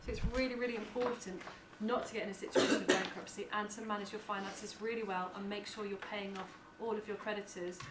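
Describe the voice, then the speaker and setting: Woman participant, quick pace, mid pitch, interview explanation, very noisy background. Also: fairly even intonation. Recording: very loud background noise.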